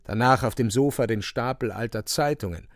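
A male narrator tells a dramatic story in a solemn, theatrical manner. His mid-pitched voice has a gravelly creak and sits close and dry, with no room around it. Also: normal speed, no echo. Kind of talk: dramatic literary narration for a radio play.

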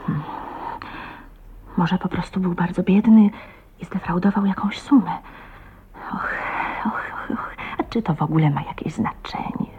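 An actress playing a shy, tender, anxious young wife in a radio drama, speaking in a breathy, hesitant, intimate way. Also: female voice, on the low side, unhurried, pauses often. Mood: wondering, uneasy. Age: young adult. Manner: breathy, hesitant, emotive, often half-whispered. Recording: dull, muffled old radio recording.

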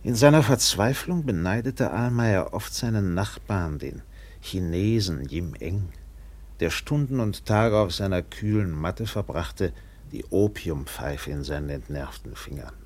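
A reflective ship's captain telling a story in a radio drama. His voice is deep and husky, with wide swings of intonation. The delivery is measured, somber and literary. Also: animated, darkish tone, exaggerated voice. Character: reflective male steamship captain recounting a man's decline. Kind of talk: literary radio play narration.

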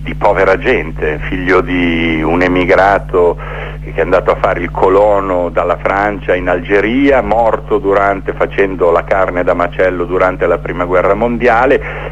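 Middle-aged male guest, lowish voice, reflective storyteller on a muffled phone-in with faint hum. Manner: reflective, builds rhythmic lists. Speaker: theatre maker interviewed as guest. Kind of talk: radio interview, storytelling. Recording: dull, noisy phone-in with hum.

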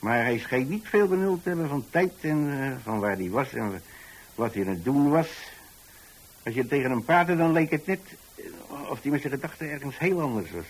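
A man's voice: medium pitch, lively intonation, moderate pace, breathy edge, rough recording. An actor playing an older retired landlord, tolerant and folksy, who tells his story hesitantly and repeats himself in a radio monologue. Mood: chatty, musing.

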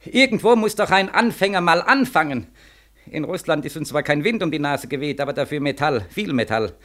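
A man's voice: a little high, expressive, brisk, fairly bright tone. A man acting a bitter, desperate war returnee of twenty-five in a 1940s German radio drama, insistent and plaintive. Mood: desperate, accusing. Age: young adult. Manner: plaintive, insistent, with repeated phrases.

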